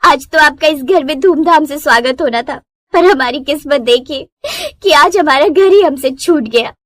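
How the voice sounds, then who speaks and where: A woman's voice: sits high, moderate pace, fairly bright tone, dry recording. A woman voicing a sorrowful, apologetic young sister-in-law in a cartoon moral story, speaking tearfully.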